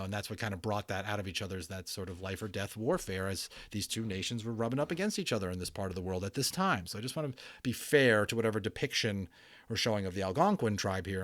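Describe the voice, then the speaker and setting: A man's voice: lowish voice, no echo. A man narrating a storybook in a dramatic reading, with theatrical emphasis and a storyteller's delivery. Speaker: audiobook narrator.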